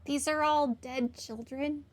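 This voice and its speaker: A woman's voice: sits high, steady tone, slow pace, a little hiss or hum. A woman giving casual, wry commentary as she plays through a video game. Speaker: gamer doing a playthrough. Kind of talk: casual game commentary, reading on-screen text aloud.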